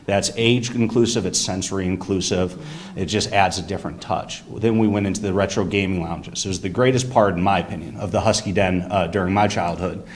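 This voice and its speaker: A deep-voiced young man speaks up in a council chamber, his voice naturally loud and carrying. He lays out his business plan with eager enthusiasm, moving through details point by point. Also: fairly even intonation, fairly quick. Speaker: business owner presenting to a council. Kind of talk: public comment at a meeting.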